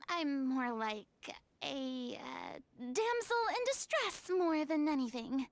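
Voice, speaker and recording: A woman's voice: sits high, expressive, slow, no echo, heavily acted. A woman voicing a theatrical young woman in a game, with a showy, dramatic way of talking.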